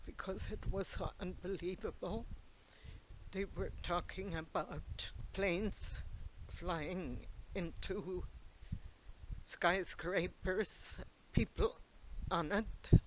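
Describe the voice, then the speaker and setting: Guy telling a memory real slow, stops a lot, kinda muffled recording w faint noise. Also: high voice. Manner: slow, halting, with pauses and fillers. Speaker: interviewee recalling the day. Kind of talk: personal recollection.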